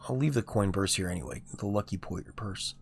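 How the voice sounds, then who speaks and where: A man streaming a video game, talking through his moves in a low-key, muttering way. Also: a little fast, gravelly, a little hiss or hum. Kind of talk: casual gameplay commentary.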